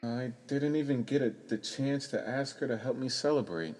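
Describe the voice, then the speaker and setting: An actor playing a shy, lovestruck young stage actor, earnest and hesitant, in a radio play. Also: male voice, medium pitch, expressive, moderate pace, a little mellow, big-room sound, close to an everyday voice.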